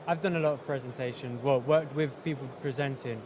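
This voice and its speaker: A man interviewing guests casually at a trade event, halting and repeating his phrases as he goes. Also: mid-range voice, fairly even intonation. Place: busy exhibition hall. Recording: loud trade show floor noise.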